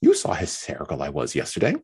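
Whiny self-pitying young mom, man reading her, petulant, pitched way up and jumping around, husky grainy voice. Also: huge pitch swings, fast talker, a little mellow, exaggerated voice. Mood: whining. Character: fretful self-pitying young mother, woman.

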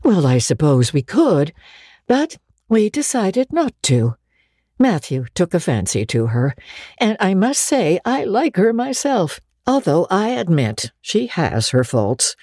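A woman reader putting on a stern older farm woman in a storybook tale. She is husky and plainspoken, reserved yet fond, with a mellow edge and a lilting range. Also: fairly low pitch, sing-song, slow, dry recording, clean studio sound, pitched above the performer's own voice, full character voice. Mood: defensive, fond.

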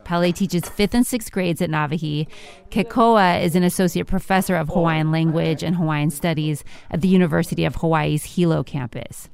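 A woman with a slightly low voice narrates a radio news feature. Her delivery is measured, clear and scripted, the steady pacing of a reporter telling a story.

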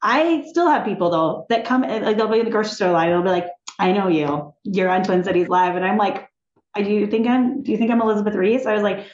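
A woman talking as a guest in a casual interview, animated and chatty, often laughing and restarting her sentences. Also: mid-range voice, quick pace. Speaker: TV executive, podcast guest. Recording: echoey room, dull sound.

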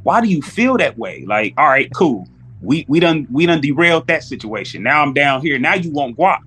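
A man's voice: on the high side, sing-song, normal speed, pushed and bright, slightly rough. A man chatting casually as a podcast guest, telling anecdotes in slangy speech and acting out both sides of exchanges.

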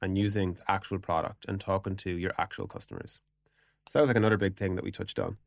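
A man's voice: deep voice, fairly even intonation, fast, gravelly, no room sound, clean studio sound. A man speaking as a podcast guest in an informal, explanatory way, in casual business conversation peppered with filler words like "you know". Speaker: podcast guest, product executive.

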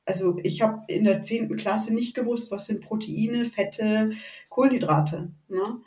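Northern german woman in her 40s, mid pitch, podcast guest telling her story, kinda hesitant, echoey and far from the mic. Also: measured pace, mellow. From Rostock, northern Germany. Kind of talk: personal story in a casual interview. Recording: echoey room, distant from the mic.

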